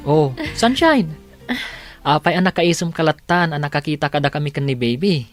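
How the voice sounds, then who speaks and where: Animated, kindly Filipino man played by an actor. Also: a little high, moderate pace, soft background music, natural voice. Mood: tender, teasing. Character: kind, devoted man, helpful older brother, teasing suitor. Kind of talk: Filipino radio drama acting.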